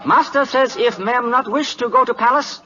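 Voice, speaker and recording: An actor playing a servile Siamese interpreter in an old radio drama, speaking clipped broken English. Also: male voice, very high-pitched, fairly even intonation, moderate pace, pushed and bright. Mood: officious. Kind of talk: 1940s radio acting. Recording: muffled old radio recording, long reverb.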